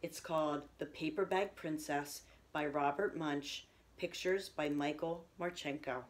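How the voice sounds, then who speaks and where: A middle-aged woman reading a children's picture book aloud with expressive storytelling delivery. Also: low voice, a little slow, a little hiss or hum. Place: small room at home, indoors.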